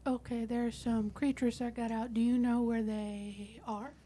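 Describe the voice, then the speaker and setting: A woman's voice: fairly high pitch, flat delivery, unhurried, dark tone, a little hiss or hum. A woman playing a tabletop roleplaying game, chatting casually in character and thinking aloud hesitantly.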